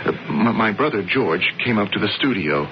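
A man's voice: lowish voice, lively intonation, moderate pace, background noise. An actor playing an anxious, earnest young sculptor who stammers as he tells his love story in a radio drama. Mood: wistful. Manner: hesitant, stammering. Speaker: brother Frank telling his story. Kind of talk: 1970s radio drama acting. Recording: muffled old radio broadcast.

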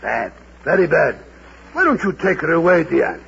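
A man's voice: a little high, very animated, vocal fry, fairly bright tone, full character voice. An actor playing a kindly, grumbling, world-weary older man in a golden age radio drama, theatrical and muttering. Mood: gruff sympathy. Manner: theatrical, slow, muttering asides. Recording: muffled, very noisy vintage radio broadcast.

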